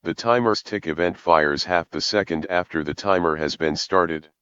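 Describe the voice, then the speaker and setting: A man with a low, resonant voice narrates a tutorial in measured, formal sentences. The recording is dry and close, with a slightly dull top end. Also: fairly bright tone, clear, resonant tone, dry recording. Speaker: programming tutorial presenter.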